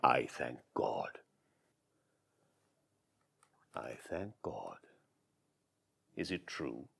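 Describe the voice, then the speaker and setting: A man playing a grief-stricken, furious old moneylender, declaiming loud, anguished verse on stage in a one-man show. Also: a little high, big pitch swings, takes it slowly, voice pushed up, full character voice. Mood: gloating relief.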